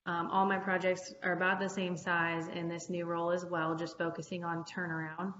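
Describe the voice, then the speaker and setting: A woman in her late twenties from Ohio, presenting in a steady, businesslike way. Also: moderate pace. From Ohio, United States. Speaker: projects engineer presenting. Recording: echoey room, muffled low-fi, faint hiss.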